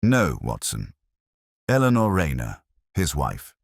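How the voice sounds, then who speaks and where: A man voicing a coolly precise, commanding detective in an audio story, quiet, intent and clipped. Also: a bass voice, wildly swooping pitch, slow pace, no echo, clean studio sound, exaggerated voice. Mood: grave, calculating.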